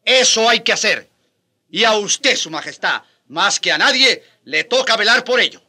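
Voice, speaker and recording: An actor playing an aging, passionate Spanish friar in a radio drama, husky and emphatic. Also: male voice, sits high, lively intonation, normal speed, sharp tone, heavily acted. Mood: indignant pleading. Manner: impassioned, emphatic.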